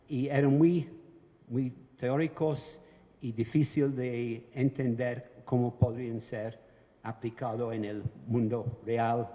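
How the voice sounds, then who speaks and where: An older man with a Venezuelan-tinged Spanish accent, giving an unhurried, plain-spoken conference talk in a large hall. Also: medium pitch, dark tone. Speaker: conference panelist. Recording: long hall reverb.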